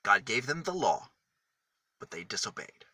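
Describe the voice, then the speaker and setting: Bright-voiced man reading a children's story. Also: fairly low pitch, sing-song, dry recording. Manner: deliberate, emphatic phrasing with single words set apart. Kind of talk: picture-book read-aloud for small children.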